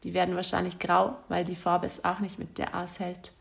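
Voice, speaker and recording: A woman's voice: on the low side, completely flat delivery, moderate pace, creaky. A woman reading out a letter she wrote to her former partner, in a bitter, accusatory and insulting way. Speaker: spurned ex-partner.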